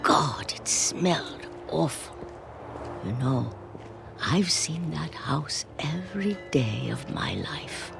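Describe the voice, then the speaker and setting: An actress playing an elderly, stubborn, wistful great-grandmother with a creaky aged voice, telling stories slowly and deliberately in a video game. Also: low-pitched, expressive, music in the background, full character voice. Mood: wistful, hushed. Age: older. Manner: slow, deliberate delivery. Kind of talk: dramatic video game storytelling.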